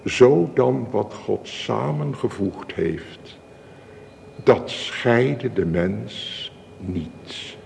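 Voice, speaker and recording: Solemn, slow-speaking minister in a reverberant hall. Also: male voice, mid-range voice, darkish tone, full character voice. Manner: formal, measured, ceremonious. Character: solemn male church minister conducting a wedding. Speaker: minister officiating a wedding. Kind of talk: solemn radio drama wedding liturgy. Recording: reverberant church-like hall, clear hiss.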